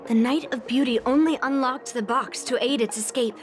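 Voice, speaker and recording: A woman's voice: high voice, moderate pace, natural voice. An actress voicing a bold, confident young swordswoman in a game cutscene, blunt and a little teasing. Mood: determined. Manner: blunt, self-assured, teasing. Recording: game audio with clear music behind.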